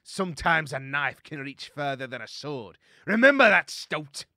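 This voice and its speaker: A man's voice: low voice, steady tone, slow pace, sharp tone, no room sound, studio quality, voice pushed down, heavily acted. A man voicing a brave, defiant warrior mouse held as a slave in a storybook. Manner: grim, defiant.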